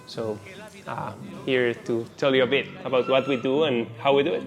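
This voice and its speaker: A man's voice: mid-range voice, steady tone, moderate pace, over music. A man with a Colombian accent, a native Spanish speaker, casually telling his story as a company founder in an interview.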